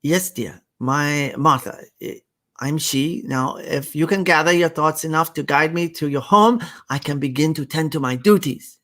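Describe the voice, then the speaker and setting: A man's voice: a little high, measured pace, pitched above the performer's own voice. A man voicing a housekeeper woman in her thirties, prim and formal with a mannered put-on way of speaking.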